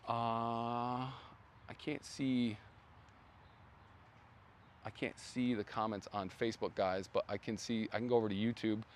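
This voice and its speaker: A man hosting a casual brand livestream outdoors, rambling with many fillers and restarts, his voice going hoarse. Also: lowish voice. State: losing his voice. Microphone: phone.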